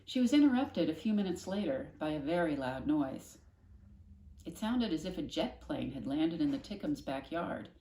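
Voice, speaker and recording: A woman reading a children's story aloud in a gentle, cozy manner, pausing to explain things to young listeners. Her voice sits on the low side. The recording has a roomy echo around it. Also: animated, slight background noise. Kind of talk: read-aloud storytelling with casual asides. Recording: echoey room.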